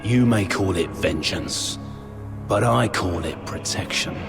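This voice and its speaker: An actor playing a vengeful, persuasive and menacing demon rebel leader in a video game, speaking in a theatrical, oratorical manner. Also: male voice, sits low, vocal fry, full character voice. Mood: solemn conviction. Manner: theatrical, oratorical, deliberate emphasis. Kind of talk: dramatic video game voice acting. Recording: music under the voice.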